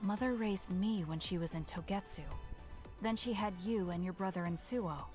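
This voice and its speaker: A woman playing a reserved, caring young female fighter in a video game, speaking in a measured, matter-of-fact way. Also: medium pitch, darkish tone, lightly acted. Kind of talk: English video game cutscene voice acting. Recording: game audio with background music.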